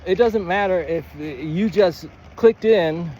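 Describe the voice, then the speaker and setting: Against a noticeable backdrop of outdoor traffic noise, a man with a slightly high voice teaches informally as a writing coach. He rambles and stammers, restarting his thoughts and drifting into digressions as he thinks out loud. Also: slow pace, highs cut off. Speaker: writing coach, presenter of a video series. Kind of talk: rambling informal lesson to camera.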